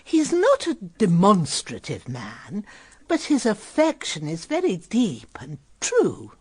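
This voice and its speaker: An actress playing a soothing, optimistic older British lady in a period radio drama, gently reassuring and unhurried. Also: medium pitch, huge pitch swings, slightly rough, no echo, full character voice.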